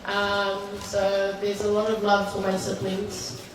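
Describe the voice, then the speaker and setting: A woman's voice: mid-range voice, monotone, slow. A woman in her early twenties with a New Zealand accent, giving a hesitant, informal, heartfelt thank-you speech at a party. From born in Samoa, grew up in New Zealand. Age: early 20s. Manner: hesitant, unscripted. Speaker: birthday celebrant giving thanks. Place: large echoey room at a party. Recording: echoey noisy hall, distant microphone.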